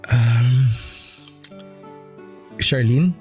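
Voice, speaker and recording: An actor playing a meek, apologetic young Filipino husband in a radio drama, hesitant and pleading. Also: male voice, mid-range voice, very slow, darkish tone, faint music behind. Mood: hesitant, coaxing. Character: meek, apologetic young husband, soft-hearted toward his brother.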